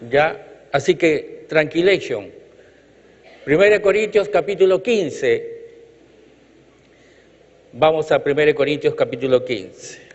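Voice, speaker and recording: A man's voice: a little high, slow pace, pauses often. Preacher man in an echoey hall. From Chile. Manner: emphatic, rhythmic repetition, light jokes. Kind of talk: sermon with congregational call-outs. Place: church hall. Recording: reverberant hall, dull lo-fi sound.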